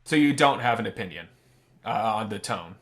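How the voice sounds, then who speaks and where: A man's voice: fairly low pitch, animated, normal speed, lightly acted. An actor playing a fussy radio director who stammers and restarts as he tries to keep the peace. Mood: placating. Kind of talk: audio drama acting.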